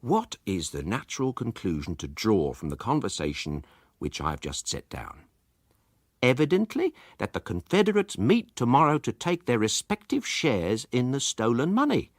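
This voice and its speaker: Guy doing a smug pompous amateur detective reading out his report, super animated, very dry sound. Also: moderate pace, no echo. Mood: smug, confident. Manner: pompous, precise, highly expressive. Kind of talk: theatrical first-person story narration.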